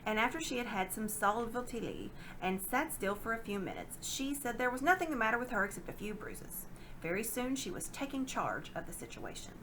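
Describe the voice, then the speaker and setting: Female read-aloud narrator: lively pitch, bright tone, dramatic children's-book delivery, noticeable background noise. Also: brisk, rough recording. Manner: dramatic, expressive. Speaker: storybook reader.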